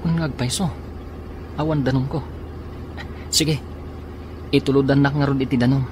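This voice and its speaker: A man's voice: mid-range voice, steady tone, moderate pace, noisy background, natural voice. An actor playing an eager, reassuring young male student in a radio drama. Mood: polite.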